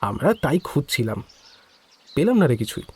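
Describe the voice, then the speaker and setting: An actor playing a casual, slightly evasive estate employee in a Bengali audio story, chatty and familiar with some hesitation. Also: male voice, medium pitch, big pitch swings, moderate pace, a little mellow, husky, exaggerated voice.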